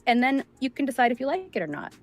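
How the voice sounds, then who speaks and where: A middle-aged woman voice actor chatting casually as an interview guest, animated in her storytelling and acting out quoted remarks. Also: high-pitched, fast. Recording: music playing behind the voice. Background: background music.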